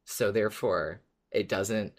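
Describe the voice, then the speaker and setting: A woman's voice: rumbling low, slow, darkish tone. A woman co-hosting a casual fan podcast, agreeable, often starting her sentences hesitantly. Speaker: podcast co-host.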